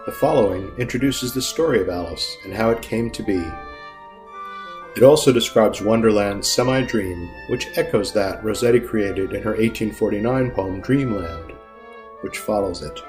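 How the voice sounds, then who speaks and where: A man's voice: fairly low pitch, moderate pace, darkish tone, faint music behind. A man reading scripted literary introductions as a podcast narrator, formal and expository.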